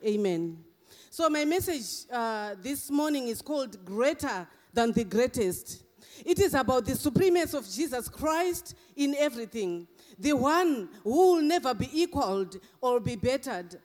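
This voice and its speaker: A middle-aged man with a Zimbabwean accent, softened by years in England and Australia, delivers a fervent sermon in a strikingly high register. His words ring out under a long church reverb, and hallelujahs punctuate nearly every thought. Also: big pitch swings, a little slow. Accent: Zimbabwean, mixed with English and Australian. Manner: emphatic, punctuated with frequent hallelujahs. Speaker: guest preacher.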